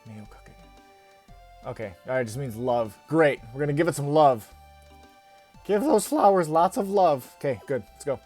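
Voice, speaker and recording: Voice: medium pitch, expressive, normal speed, long pauses, darkish tone, faint music behind. A channel host chatting casually through a game, upbeat and self-deprecating, full of fillers like "um" and "like". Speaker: channel host and Japanese learner. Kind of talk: casual let's-play narration, reading game text aloud.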